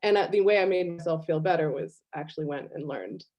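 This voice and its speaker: A woman speaking casually on a video call, animated, laughing often and using lots of fillers. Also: sits low, a little fast. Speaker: guest artist. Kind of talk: casual online conversation. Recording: slightly dull video call audio.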